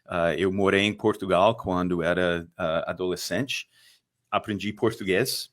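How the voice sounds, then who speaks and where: A middle-aged man from Texas with a Texan American accent and English as his first language, chatting as a podcast guest with halting speech and frequent fillers. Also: sits low, steady tone. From Texas, USA. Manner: halting, many fillers, laughs easily. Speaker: guest, fund manager. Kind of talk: casual podcast chat.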